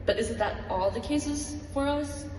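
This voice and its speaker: A young woman speaks earnestly and reflectively, her voice at an ordinary middle pitch. She is heard from a distance in a large, echoing hall with a long reverb and a faint hum of ambience. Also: unhurried, darkish tone. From Malaysia. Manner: earnest, reflective, with light laughs. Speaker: student speaker. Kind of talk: personal talk to an audience. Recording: echoey hall from far off.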